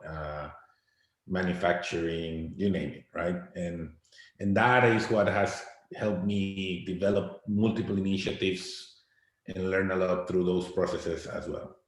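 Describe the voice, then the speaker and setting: Low-voiced guy from costa rica in his 40s, halting, repeats words a lot, really echoey room. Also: fairly even intonation. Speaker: guest. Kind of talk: interview answers.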